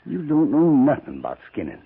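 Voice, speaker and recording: An actor playing a gloating, menacing buffalo skinner with a folksy frontier drawl in a 1950s western radio drama. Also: male voice, medium pitch, wildly swooping pitch, a little fast, gravelly, slightly rough, phone-quality sound, full character voice. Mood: scornful, boastful. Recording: noisy, thin 1950s radio recording.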